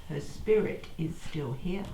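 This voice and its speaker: A woman playing an excitable, superstitious middle-aged radio actress in a comedy drama, dramatic and earnest. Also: sits low, unhurried, creaky, full character voice. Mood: wistful, eerie. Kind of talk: amateur-style comedy drama dialogue. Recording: echoey room, distant microphone.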